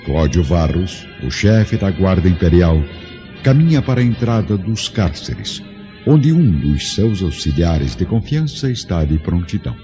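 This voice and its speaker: A man narrating a radio drama in a grave, measured storytelling manner. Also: deep voice, animated, warm tone. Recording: muffled audio with music score.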